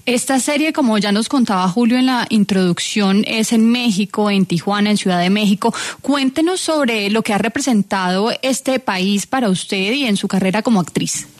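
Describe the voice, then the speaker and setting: Woman co-host asking measured questions. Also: mid-range voice, expressive. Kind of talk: interview questions.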